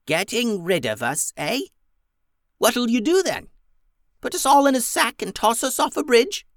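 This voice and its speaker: A man's voice: extremely high pitch, sing-song, unhurried, a bit bright, no echo, studio quality, voice pushed up, exaggerated voice. A man voicing an old female goblin leader in a storybook reading, shrewd and needling as she fires wry questions. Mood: mocking. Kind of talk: storybook character voice.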